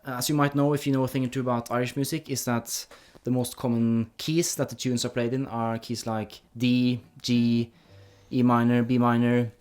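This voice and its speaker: A man's voice: mid-range voice, level tone, normal speed, hardly any pauses, darkish tone, smooth. A man hosting an instructional guitar video in a conversational interview style.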